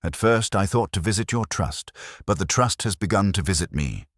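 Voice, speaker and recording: Man playing a wry, very deep-voiced police inspector. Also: lively intonation, moderate pace, dry recording, clean studio sound. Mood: wry, probing. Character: courteous, wry, quietly probing male police inspector.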